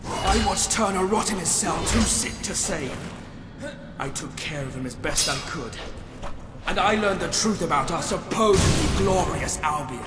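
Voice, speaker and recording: A man acts out a fanatical commander scheming to take a crown in a video game. His fairly high voice speaks in grandiose, menacing monologue, set back from the mic in a long, echoing hall. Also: animated, normal speed, close to an everyday voice. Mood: cold, scheming. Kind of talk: dramatic video game villain acting. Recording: echoey hall, distant, loud music behind.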